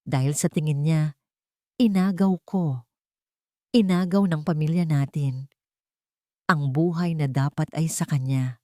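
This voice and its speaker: A woman with a Filipino accent voicing a wounded young wife in an audio story, hushed and stunned. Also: low voice, a little slow, pauses often, no echo, clean studio sound. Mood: stunned, hurt. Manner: hushed, stunned whispering delivery.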